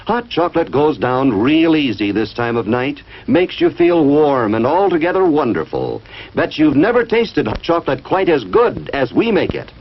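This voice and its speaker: A male announcer delivers a folksy vintage snack bar ad. His voice is fairly high and animated, on a slightly dull old film soundtrack. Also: moderate pace. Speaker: commercial announcer.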